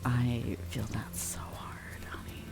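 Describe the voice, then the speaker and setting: A young adult woman streamer chatting softly with her viewers, drifting in and out of whispers, relaxed and affectionate. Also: rumbling low, slow pace, gravelly, over loud music. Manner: relaxed, affectionate, reads and answers chat; drifts between soft talk and whispers. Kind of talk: soft-spoken ASMR chat with viewers.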